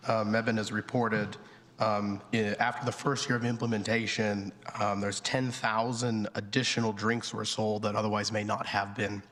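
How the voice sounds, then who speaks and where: A man with a hoarse voice from a cold, a town planning director presenting to a board with many ums and restarts. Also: fairly low pitch, level tone, normal speed, fairly bright tone. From North Carolina. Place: town council chamber at a podium. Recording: long reverb in a council chamber.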